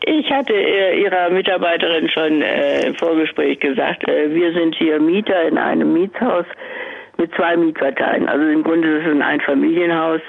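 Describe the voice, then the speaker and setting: Gravelly woman on a phone line. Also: low voice, normal speed. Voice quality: gravelly, husky. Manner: indignant, emphatic, rhetorical questions. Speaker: radio phone-in caller. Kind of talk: aggrieved personal account. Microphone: telephone.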